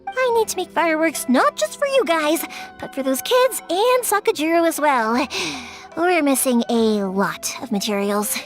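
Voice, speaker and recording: A woman's voice: sits high, expressive, normal speed. A woman voicing a cheerful young fireworks maker who chatters on in a bubbly, rambling way. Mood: chatty. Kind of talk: video game voice acting. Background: soft game music.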